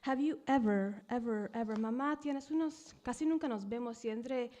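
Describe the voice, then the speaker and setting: A woman in her thirties performing poetry at a live reading, mixing English and Spanish, swinging between hushed whispers and loud cries. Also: on the high side, moderate pace, dull audio. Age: 30s. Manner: dramatic, shifting from whispers to screams. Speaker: poet reading at a live event.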